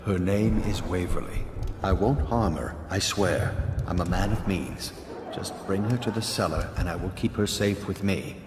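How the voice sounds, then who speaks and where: An actor playing a secretive, wealthy aristocrat in a video game, speaking smoothly and with deliberate insinuation. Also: male voice, low voice, noisy background, natural voice. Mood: pleading, obsessive. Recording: echoey, loud music behind.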